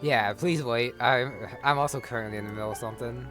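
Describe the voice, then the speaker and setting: A man's voice: medium pitch, fairly quick, over music. A man giving casual let's-play commentary, muttering wry asides to himself. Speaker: gaming channel host.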